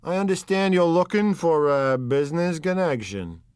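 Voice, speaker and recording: Gloomy old gangster voiced by a male reader: raised pitch, dry close sound, broken grammar, dwells on the past. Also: animated, darkish tone, clean tone, dry recording, lightly acted. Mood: brooding. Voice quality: broken, ungrammatical speech. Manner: brooding, slow, nostalgic.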